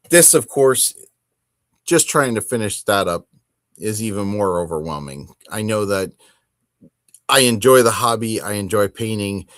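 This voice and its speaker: A man's voice: lowish voice, a bit bright, no room sound. Male hobby podcaster, close dry sound. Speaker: podcast host. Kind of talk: casual solo hobby talk.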